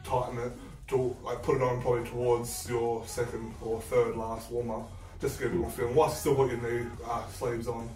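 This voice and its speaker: A man's voice: medium pitch, little pitch movement, a little fast, a little mellow. A man in his thirties giving casual, conversational coaching advice, explaining things step by step. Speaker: co-host, powerlifting coach. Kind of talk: casual instructional chat. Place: small bare-walled room indoors. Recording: roomy echo, distant mic, faint music.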